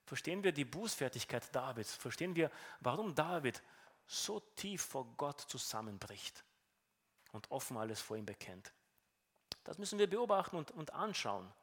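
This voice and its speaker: A man with a fairly high voice and a Romanian accent delivers a sermon. He speaks earnestly, explaining and asking questions of his listeners. He often repeats a word as he restarts a thought. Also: a bit bright. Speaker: preacher.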